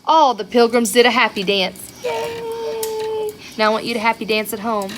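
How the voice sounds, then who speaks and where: A woman reading a picture book aloud to young children in an expressive way, with chatty asides and questions to her listeners. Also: on the high side, normal speed, noisy background. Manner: expressive, child-directed, with rhetorical questions and exclamations.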